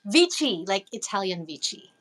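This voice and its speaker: A woman who was born in the Philippines and grew up in Los Angeles, an indie music artist speaking from a recording studio as an interview guest, chatty and quick to laugh. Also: mid-range voice, big pitch swings, fairly bright tone. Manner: bubbly, laughs often, chatty. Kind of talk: casual interview over a call.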